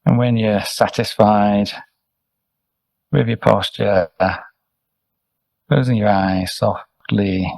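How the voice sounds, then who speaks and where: A man leading a guided meditation as a teacher, speaking gently and soothingly in short, unhurried instructions with pauses. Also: fairly low pitch, steady tone, vocal fry, no echo, rough recording. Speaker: meditation teacher, Buddhist monk.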